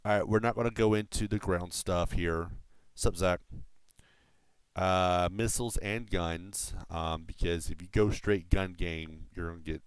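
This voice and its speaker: Deep-voiced man explaining a flight game. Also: fairly even intonation, unhurried, clear, resonant tone, no room sound. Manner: rambling, with frequent fillers and casual swearing. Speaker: streamer. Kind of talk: casual gameplay explanation on a live stream. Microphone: desk microphone through a mixer.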